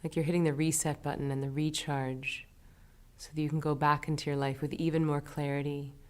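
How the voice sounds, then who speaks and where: A low-voiced woman leads a guided meditation. She gives her instructions gently and soothingly, taking her time with each phrase. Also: flat delivery, a little fast.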